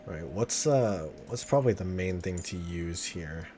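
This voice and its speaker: A man giving casual, slangy live-stream gameplay commentary, swearing freely and getting excited. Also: low voice, moderate pace, slight background noise. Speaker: game streamer. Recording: game music behind the voice. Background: video game music and sounds.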